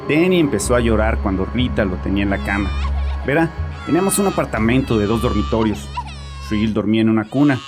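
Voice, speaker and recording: A man's voice: lowish voice, close to an everyday voice. An actor playing a bitter, harsh, troubled father confessing to a therapist in an agitated, defensive way in a horror audio drama. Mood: bitter recounting. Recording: voice over background music.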